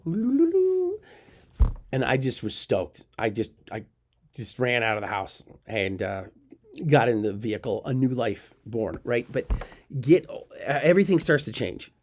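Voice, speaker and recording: A man telling a personal story in an emotional, self-mocking way, with sudden jumps in pitch. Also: wildly swooping pitch, unhurried.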